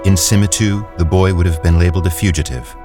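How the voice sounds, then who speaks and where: A man narrating in a measured, somber storytelling manner. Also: deep voice, fairly even intonation, over music. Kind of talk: scripted narration.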